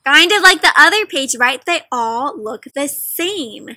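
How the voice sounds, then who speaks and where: A young adult woman hosting an interactive picture book read-aloud, questioning young children directly in an animated, teacherly way. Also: sits high, unhurried, no echo, clean studio sound. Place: outdoors in a leafy garden.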